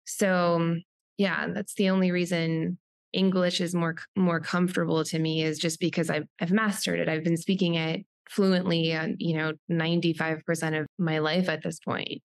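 A woman's voice: on the low side, little pitch movement, normal speed, no echo. A woman whose first language is Polish, thinking aloud as a podcast guest and searching for words with many fillers. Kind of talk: podcast interview.

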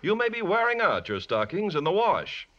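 A man's voice: high voice, huge pitch swings, normal speed, fairly bright tone. A man announcing on 1950s radio with a polished, emphatic scripted delivery. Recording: muffled 1950s radio broadcast.

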